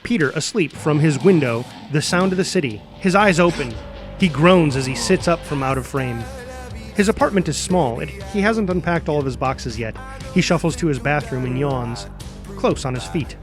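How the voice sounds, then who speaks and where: A man's voice: on the high side, lively intonation, moderate pace, music in the background. A man reading scripted screenplay narration and stage directions dramatically, voicing the sound effects himself.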